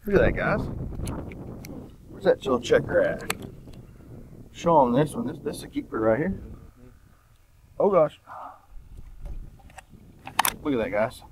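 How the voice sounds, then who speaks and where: An excitable man hosts a fishing vlog outdoors on a boat, his pitch swinging widely. Faint outdoor noise sits behind him. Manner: excitable, exclaiming, quick laughs. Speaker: fishing channel host.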